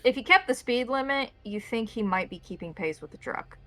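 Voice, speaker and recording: A woman's voice: a little high, animated, brisk, fairly bright tone, no room sound. A woman narrating a tabletop roleplaying game as the game master, describing scenes to players.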